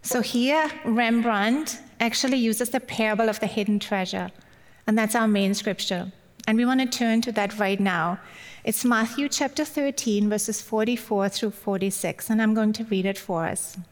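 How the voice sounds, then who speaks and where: A woman's voice: a little high, moderate pace. A woman in her forties from Germany with a German accent, giving a chatty, encouraging Mother's Day sermon as a guest speaker. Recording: long hall reverb.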